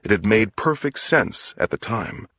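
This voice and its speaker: A man narrates a crime story in a very low-pitched voice, recorded close and dry. The delivery is scripted audiobook storytelling, with a slightly rough, husky edge. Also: lively intonation, a little slow, bright, forceful tone, dry recording.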